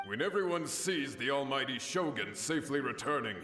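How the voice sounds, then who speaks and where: A man's voice: medium pitch, animated, fairly quick, close to an everyday voice. Man acting a fervent samurai, echoing voice. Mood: fervent, proud. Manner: formal, fervent, declamatory. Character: devoted male samurai warrior, brave and fervent. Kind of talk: dramatic anime game voice acting. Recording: echoing reverb under game music.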